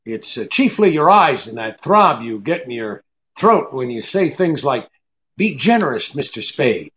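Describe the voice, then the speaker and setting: An actor playing a shrewd, cool private detective in an old-time radio drama, wry and blunt, hesitating with the odd uh. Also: male voice, mid-range voice, very animated, measured pace, a bit bright, slight background noise. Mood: dry, probing. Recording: dull, lo-fi audio.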